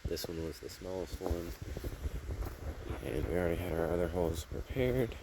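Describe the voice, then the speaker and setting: A man's voice: deep voice, normal speed, noisy background. A man casually narrating hands-on garden work outdoors, rambling and thinking aloud as he goes, recorded on a handheld camera. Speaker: gardening vlogger.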